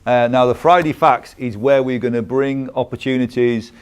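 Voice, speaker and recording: A man with a smooth, clear voice presenting a promotional music video series in a conversational way. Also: medium pitch, fairly even intonation, moderate pace.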